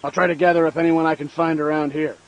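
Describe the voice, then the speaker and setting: A man playing a gruff American army sergeant, giving brisk, authoritative orders. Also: a little high, little pitch movement, muffled recording, lightly acted. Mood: commanding, urgent. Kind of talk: military orders in game dialogue.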